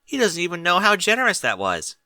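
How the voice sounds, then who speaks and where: A man's voice: high-pitched, huge pitch swings, a little fast, sharp tone, no room sound, studio quality, recorded from a distance, pitched above the performer's own voice, exaggerated voice. A man voicing a brash young female flying pony in a storybook, exclamatory and secretly sentimental. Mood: amazed.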